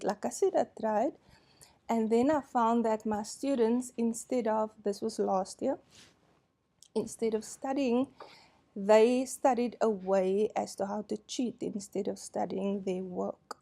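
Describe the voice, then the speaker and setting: Slow-spoken woman lecturer. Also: a little high, dark tone. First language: Afrikaans. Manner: unhurried, candid, self-deprecating, laughs often. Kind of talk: presentation to colleagues.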